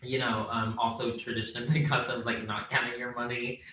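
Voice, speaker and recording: Young man, bright voice. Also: mid-range voice, normal speed. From Vietnam. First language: Vietnamese. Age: young adult. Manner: relaxed, rambling, laughs easily. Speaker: podcast co-host. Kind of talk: casual podcast chat. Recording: very echoey room, faint noise.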